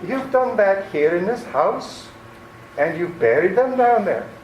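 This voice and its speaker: A man acts out a menacing, proud killer from an old-time radio play. He speaks slowly and deliberately, with wide swings of pitch. The sound is roomy, as in an amateur stage recording. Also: sing-song, dull audio, a little hiss or hum. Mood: shocked, incredulous. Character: menacing, proud male murderer, cold and boastful.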